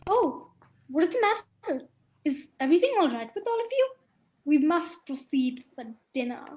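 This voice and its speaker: A woman's voice: high-pitched, big pitch swings, moderate pace, darkish tone. A woman playing a solicitous, gossipy countess of a spooky castle in a student radio play, genteel and theatrical.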